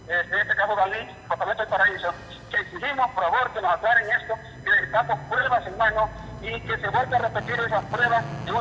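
High-voiced indignant Honduran man. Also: bright, forceful tone, a little hiss or hum. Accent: Honduran Spanish. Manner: indignant, halting and repetitive. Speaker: phone-in caller, relative of a patient. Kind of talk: live phone call to a news programme. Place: telephone call to a TV studio. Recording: muffled, reverberant phone line.

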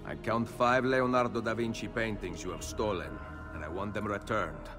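An actor playing a calm, courteous and resolute male assassin with an Italian accent in a video game, in a measured delivery. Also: on the low side, music in the background, close to an everyday voice. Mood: calm, firm. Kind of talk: video game cutscene acting.

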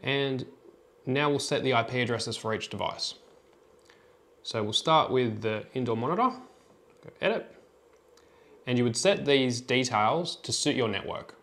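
A man's voice: medium pitch, expressive, a little slow, long pauses, fairly bright tone. Man giving a plain, step-by-step demo. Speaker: tutorial presenter. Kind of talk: informal step-by-step product demonstration.

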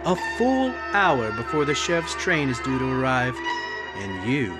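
A man narrating an old-time radio mystery in the second person, measured and insinuating. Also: mid-range voice, sing-song. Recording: loud music under the voice.

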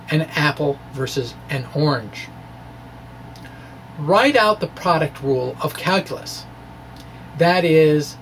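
Guy in his 40s doing a physics lecture, takes it slow, kinda wry and playful, bit of noise behind him. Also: fairly high pitch, rough recording. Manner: playful, wry dramatic delivery. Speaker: physics presenter. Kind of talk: video lecture, part scripted reading, part informal explaining. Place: small indoor room with bookshelves. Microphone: microphone on a stand close in front. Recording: faint steady background noise.

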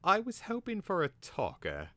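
A stubborn young lad from a storybook, voiced by a grown man. The male voice is lifted well above its deep base, earnest and insistent in plain phrases. It sounds dry and close. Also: huge pitch swings, normal speed, fairly bright tone, no room sound, full character voice. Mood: hopeful, firm.

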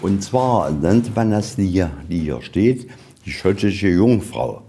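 A man's voice: low-pitched, lively intonation, slow pace, warm tone, faint music behind. A man guiding visitors through a museum, explaining exhibits step by step in plain, colloquial words. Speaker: museum guide. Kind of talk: explaining exhibits on a guided tour. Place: inside a museum, on location.

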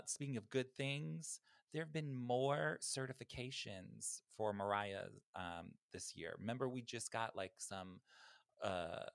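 A man's voice: on the low side, vocal fry, fairly bright tone, clean tone, no room sound. A man co-hosting a podcast in casual, gossipy chat, with campy emphatic repetition, on a close microphone. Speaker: podcast co-host. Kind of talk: casual chat about pop music news. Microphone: close podcast microphone, video call.

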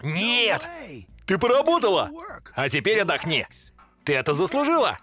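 Lazy gluttonous devil boy, whiny, high voice, wild pitch jumps. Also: very animated, moderate pace, pushed and bright, faint music behind, exaggerated voice. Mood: scheming. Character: greedy, lazy young male devil.

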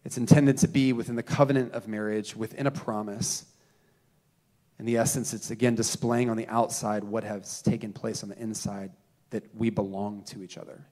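A man in his thirties preaching a sermon on a church stage into a handheld mic, earnest, rising to emphasis and dropping to quiet, slow stresses. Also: on the low side, fairly even intonation. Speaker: pastor.

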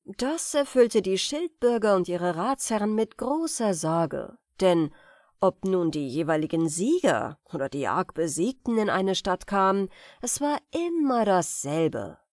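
A woman reading comic folk tales as an audiobook, telling them expressively with deliberate dramatic pauses. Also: medium pitch, animated, darkish tone, dry recording, studio quality. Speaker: audiobook reader.

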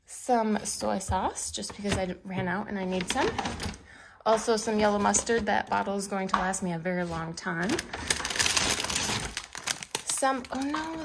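Chatty woman with a gravelly edge. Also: medium pitch, heavy background noise. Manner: chatty, unpacking groceries as she talks. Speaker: cooking vlogger. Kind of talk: casual grocery haul chat. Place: home kitchen, indoors. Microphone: handheld camera pointed at the counter, speaker off screen.

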